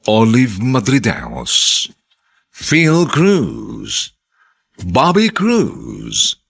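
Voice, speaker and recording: A man with a Filipino accent narrating a radio drama as its announcer, in a dramatic, deliberate scripted delivery. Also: lowish voice, vocal fry, fairly bright tone, slightly rough.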